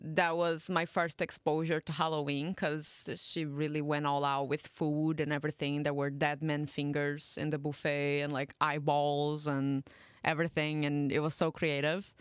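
A woman's voice: deep voice, little pitch movement, normal speed, clear, resonant tone, no room sound, clean studio sound. A young woman from Brazil in a casual chat, telling personal stories in a chatty, informal way.

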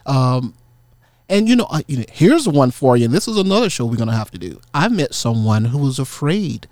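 A male podcast host in relaxed conversation with guests. He has a mid-pitched voice and an encouraging, affirming manner, recorded dry with very little room sound. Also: fairly quick, dry recording. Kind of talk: casual podcast discussion.

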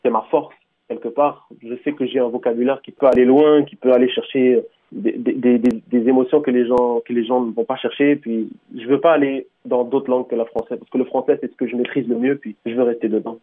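Fast-talking rapper over a phone line. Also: male voice, mid-range voice, steady tone. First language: Tunisian Arabic. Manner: rambling, full of restarts and fillers. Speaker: rapper, interview guest. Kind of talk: radio interview answers.

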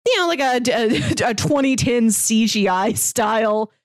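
A woman's voice: fairly high pitch, animated, unhurried, no echo, studio quality. A woman co-hosting a podcast, chatting casually about pop culture in a talkative way full of "like". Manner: chatty, frequent "like". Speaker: podcast co-host.

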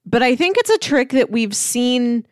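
A woman's voice: fairly high pitch, lively intonation, unhurried, dry recording, studio quality. A woman co-hosting a casual film discussion, explaining things in a knowledgeable, conversational way. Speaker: film podcast co-host.

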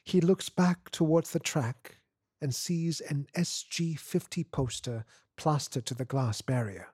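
A man narrating a short story in measured, deliberate phrases, as scripted literary prose. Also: on the high side, a little mellow, breathy edge, no room sound, studio quality. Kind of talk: literary scripted narration, third-person present tense.

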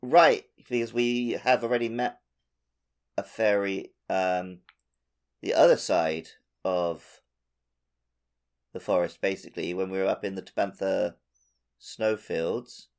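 A man co-hosts a casual gaming podcast in a fairly low, creaky voice. He speaks tentatively, thinking aloud as he goes. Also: slow pace, long pauses. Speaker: podcast co-host.

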